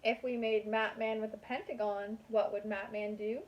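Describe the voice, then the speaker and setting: A woman's voice: mid-range voice, level tone, normal speed, highs cut off, slight background noise. A woman kindergarten teacher reading a picture book aloud to young children in a sing-song manner.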